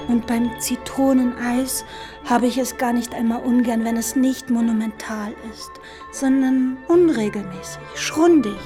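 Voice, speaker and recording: A woman's voice: a little high, unhurried, close to an everyday voice. An actress playing a playful, sensual young woman who savors florid, eloquent phrases in a literary radio drama. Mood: playful, savoring. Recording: studio radio play with music underneath.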